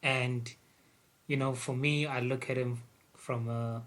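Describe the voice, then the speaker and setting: A man's voice: mid-range voice, fairly even intonation, slow, a bit bright. A man hosting a podcast in a casual, conversational style. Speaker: podcast host.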